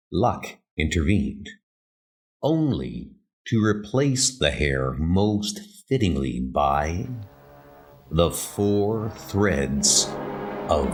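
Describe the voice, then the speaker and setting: A man narrating a horror comic in a dramatic, portentous scripted style, with steady rain noise behind him. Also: low-pitched, husky. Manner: deliberate, portentous phrasing.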